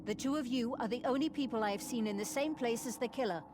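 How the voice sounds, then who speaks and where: A woman's voice: fairly high pitch, fast talker, noisy background, natural voice. A woman voicing a resolute, caring abbess in a video game, speaking with grave authority. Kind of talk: scripted video game voice acting.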